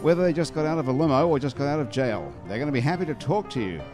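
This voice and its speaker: A man's voice: a little high, expressive, rapid-fire, music in the background. A man hosting a podcast from a bar, chatting and teasing his guests in a jokey, talkative way. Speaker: podcast host. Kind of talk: casual hosted bar chat. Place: bar and restaurant.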